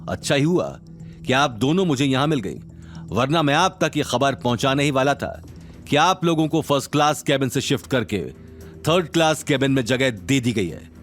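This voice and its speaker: A man's voice: medium pitch, animated, normal speed, faint music behind, close to an everyday voice. A man voicing a proud, formal train captain in an audio drama, mixing in English phrases. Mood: angry, stern. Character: proud, indignant male train captain, stern but deferential.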